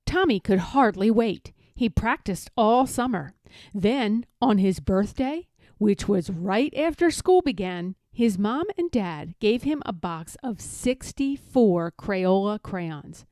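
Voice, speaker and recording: A woman narrating a children's storybook aloud in a measured, expressive manner. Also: medium pitch, no room sound. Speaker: storybook reader.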